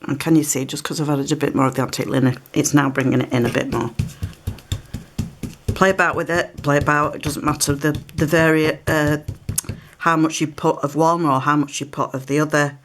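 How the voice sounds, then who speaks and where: Woman, low voice, has a cold, relaxed craft tutorial, light background hiss. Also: fairly quick. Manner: relaxed, chatty, explains while working. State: has a cold, occasional coughs. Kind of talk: step-by-step craft demonstration. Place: indoors at a craft table. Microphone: overhead camera on table, hands shown.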